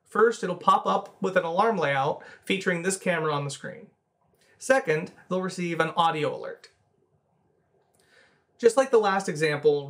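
Male tutorial presenter, thirties, slightly high voice, methodical step-by-step scripted instruction. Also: a bit bright.

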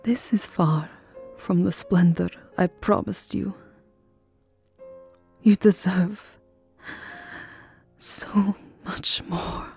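Woman acting a slow, breathy, tender vampire countess. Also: on the low side, no room sound, full character voice. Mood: wistful, regretful. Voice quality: soft, breathy, often dropping into a whisper. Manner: slow, tender, wistful, formal endearments. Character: tender, lovesick vampire countess in her gothic castle. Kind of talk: ASMR roleplay, soft intimate romantic acting. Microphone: close microphone, dry. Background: music.

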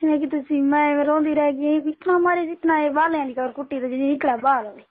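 Very high woman's voice on phone. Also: steady tone, moderate pace. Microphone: telephone. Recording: tinny, band-limited phone line.

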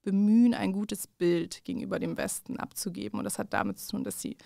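Woman, 30s, from Afghanistan; interview guest, reflective, slight vocal fry, dry close studio mic. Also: mid-range voice, animated, warm tone, dry recording. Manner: thoughtful, measured, with many fillers and restarts. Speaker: guest journalist. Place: small dark studio with curtains. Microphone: large studio mic on a stand.

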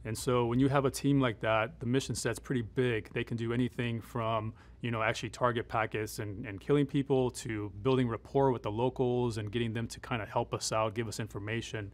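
A middle-aged man speaking as a military advisor in an interview, explaining things in a conversational, measured, matter-of-fact way. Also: medium pitch, fairly even intonation. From United States, born in Afghanistan. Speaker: military advisor and former Green Beret.

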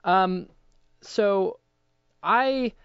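A man's voice: high-pitched, very slow, a bit bright, smooth, no echo, lo-fi sound. A young man hosting a casual interview in a hesitant, loosely phrased way. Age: young adult. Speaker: interviewer and voice actor.

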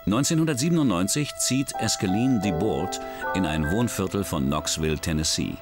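A deep-voiced man narrates a crime documentary in a measured, dramatic way. His low voice rises and falls widely. Music plays clearly behind him. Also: lively intonation. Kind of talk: scripted true-crime narration. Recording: studio voice-over with music behind.

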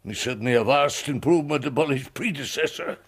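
Creaky, irascible old professor. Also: male voice, mid-range voice, fast. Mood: suspicious, grumbling. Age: older. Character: irascible, suspicious old professor scolding his servant. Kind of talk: BBC radio drama acting.

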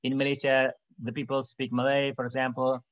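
A middle-aged man giving an academic webinar talk over a video call, measured, with many "for example"s and pauses. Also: medium pitch, completely flat delivery, no room sound, muffled recording. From Indonesia. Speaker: webinar speaker.

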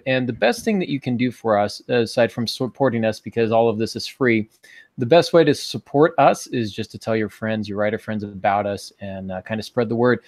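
A man's voice: mid-range voice, no room sound. A man in his forties hosting a podcast about writing, talking conversationally in an easygoing, lightly joking way. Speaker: podcast host and writing teacher. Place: small home office, indoors.

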